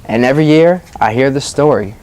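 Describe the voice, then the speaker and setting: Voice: medium pitch, a little fast, darkish tone, a little hiss or hum. Teacher reading aloud and questioning a class. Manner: prompting children with questions, acknowledging their answers. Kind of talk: read-aloud storytelling and classroom discussion.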